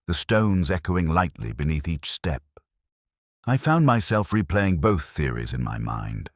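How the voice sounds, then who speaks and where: Really low male voice narrating a detective story, measured and reflective, pitch moves around a fair bit. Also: dry recording, studio quality. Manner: measured, literary, reflective delivery. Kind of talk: scripted first-person detective story narration.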